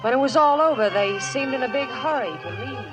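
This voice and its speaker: A woman's voice: sits high, expressive, fairly quick, over music, poor audio. A woman playing a plucky young frontier woman with a wistful streak in a vintage radio western. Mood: dramatic narration. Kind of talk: 1950s radio western acting.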